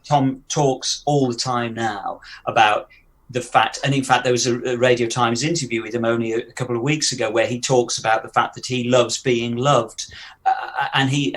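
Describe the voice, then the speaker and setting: A British man in casual podcast chat, with a mid-range voice. He talks eagerly, stumbling over repeated words, and bursts into excited high notes now and then. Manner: enthusiastic, repeats words, animated outbursts. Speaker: podcast co-host. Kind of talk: casual fan discussion.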